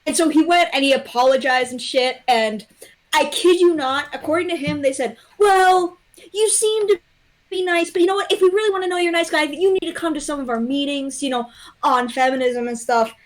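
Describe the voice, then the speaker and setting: A woman's voice: sits high, a little fast. A young adult woman chatting casually as a livestream guest, blunt and freely swearing. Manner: blunt, profane. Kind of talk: casual chat.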